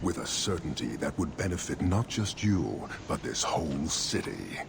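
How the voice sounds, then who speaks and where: An actor playing a stern, disdainful warrior leader of an inhuman fantasy race in a video game, speaking in a measured, solemn way. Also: male voice, very deep voice, lively intonation, very noisy, exaggerated voice. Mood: solemn conviction. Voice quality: gravelly. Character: stern imposing male Qunari warrior leader, disdainful and rigid. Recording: game audio with loud background ambience.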